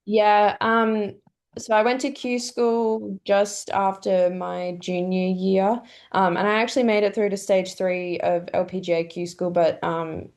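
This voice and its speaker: A young Australian woman in her twenties, a golfer, answering interview questions in a relaxed, chatty way with lots of "um" fillers. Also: medium pitch, measured pace. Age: 20s. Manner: chatty, many "um" fillers, laughs often. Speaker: pro golfer guest.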